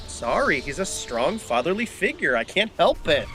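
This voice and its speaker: A man acts as a sentimental, naive alien sidekick in a comedy audio play. The voice is bright and high for a male, earnest and gushing, with lively swings of pitch. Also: moderate pace, faint music behind. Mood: gushing, sheepish. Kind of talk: comic audio drama acting.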